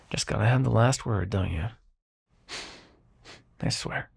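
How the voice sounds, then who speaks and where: A man playing a grumpy but reluctantly kind immortal dream-bringer in an ASMR roleplay, brusque and halting. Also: lowish voice, vocal fry, breathy edge, exaggerated voice. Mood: brusque, caring. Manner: brusque, exasperated, halting, softening into concern.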